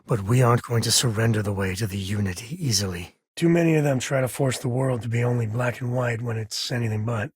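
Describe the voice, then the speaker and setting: Husky, wry American space cowboy. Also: male voice, medium pitch, no room sound, studio quality. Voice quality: husky, grainy. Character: easygoing wry space cowboy, devoted father, adult man. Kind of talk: video game character dialogue.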